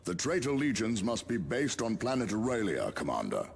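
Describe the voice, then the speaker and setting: A grave military leader from a video game. His low voice moves expressively, solemn and commanding, over faint ambience. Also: huge pitch swings, normal speed, vocal fry, slight background noise, heavily acted. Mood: stern, grave. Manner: solemn, authoritative. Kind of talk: dramatic video game acting.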